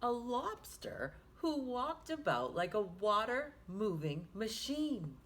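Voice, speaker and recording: A woman hosting children's storytime, reading a picture book aloud in an animated, sing-song manner. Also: a little high, takes it slowly. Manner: animated, sing-song, with hushed asides to listeners.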